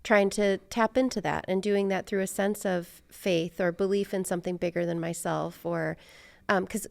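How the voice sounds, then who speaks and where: A middle-aged American woman with a fry-edged but clean, smooth voice, recorded dry and close. She talks casually and reflectively as a podcast host, wandering through her thoughts and putting herself down a little. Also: medium pitch, fairly even intonation, moderate pace, no echo. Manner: rambling, self-deprecating.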